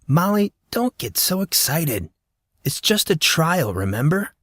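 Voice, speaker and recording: Audiobook role: sulky young teen schoolboy, defensive and hesitant, raised pitch, dry sound adult male narrator performing. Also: sing-song, measured pace, fairly bright tone, no echo, full character voice. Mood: downplaying. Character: groggy, grumbling, self-doubting fourteen-year-old schoolboy.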